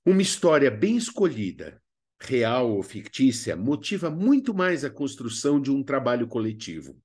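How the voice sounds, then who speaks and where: Animated Brazilian man teaching. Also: medium pitch, normal speed, breathy edge. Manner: didactic, expressive, colloquial asides. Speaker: drama teacher presenting a video lesson. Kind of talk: instructional narration with acted examples.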